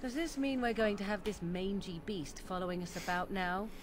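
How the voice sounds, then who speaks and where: Woman voicing haughty young witch, mid-pitched, sarcastic, fantasy game, noticeable ambient noise. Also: moderate pace, lightly acted. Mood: scornful.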